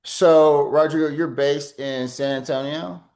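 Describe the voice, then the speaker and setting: A man's voice: a little high, fairly even intonation, unhurried, a little mellow. Chatty male podcast host. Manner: loose, chatty, fond of fillers. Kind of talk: casual interview.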